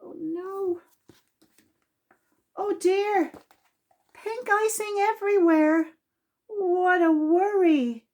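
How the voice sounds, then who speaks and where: A woman reading a children's story aloud in an expressive, deliberate way, drawing out key words for emphasis. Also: very high voice.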